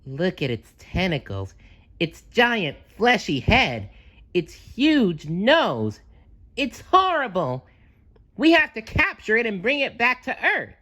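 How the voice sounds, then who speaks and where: A bright, high cartoon sea sponge acted out by a woman storyteller, naive and endlessly excitable. He speaks in big, swooping, dramatic exclamations, earnest about every wild idea. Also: slow pace, heavily acted. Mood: alarmed, dramatic.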